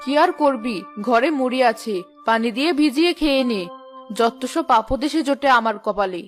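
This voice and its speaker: A woman's voice: a little high, normal speed, faint music behind, natural voice. A woman voicing a spiteful, scolding village aunt in a cartoon, with a nagging, scornful manner. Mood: dismissive. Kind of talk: Bengali cartoon voice acting.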